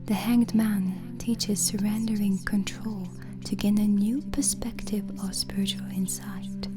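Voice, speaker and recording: A gentle witch, acted by a woman, whispers in a breathy, soothing voice for an ASMR roleplay. The delivery is slow and deliberate, welcoming a guest with care. Music plays clearly behind the whisper. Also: medium pitch, steady tone, close to an everyday voice. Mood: calm, instructive. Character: gentle, hospitable witch hosting a guest overnight.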